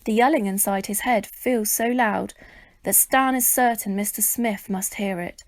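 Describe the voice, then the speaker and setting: A woman reading a children's story aloud as expressive narration, with a dramatic, measured delivery. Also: mid-range voice, no room sound.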